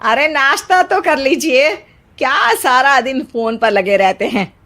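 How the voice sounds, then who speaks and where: A woman voicing a sharp-witted older wife and grandmother in a family story, scolding in a homely, colloquial way. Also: high-pitched, expressive, unhurried, full character voice. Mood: chiding. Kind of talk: dramatized Hindi moral story. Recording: music behind the voice. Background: music.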